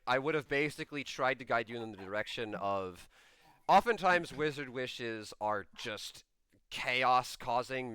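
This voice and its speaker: A man's voice: mid-range voice, sharp tone, clean tone, no room sound. A man running a social deduction game who explains his rulings at length in casual chat and laughs often.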